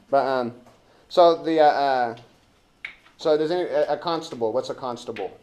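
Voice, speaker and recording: A man teaching literature in an informal classroom lecture, with a halting, rambling and wry delivery. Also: mid-range voice, a little mellow.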